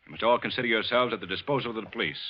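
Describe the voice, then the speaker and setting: An actor playing a police detective in an old radio thriller, deceptively casual, insinuating and slyly suspicious. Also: male voice, on the low side, rapid-fire, close to an everyday voice. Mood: official. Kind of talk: old-time radio suspense acting. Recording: muffled, noisy vintage radio recording.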